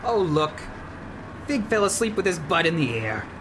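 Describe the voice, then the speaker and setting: A man playing an earnest, excitable, sweetly fussy band leader in a comedic radio play, theatrical and exclamatory. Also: fairly high pitch, moderate pace, noisy background. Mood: tender, cosy. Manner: theatrical, exclamatory, talks to himself.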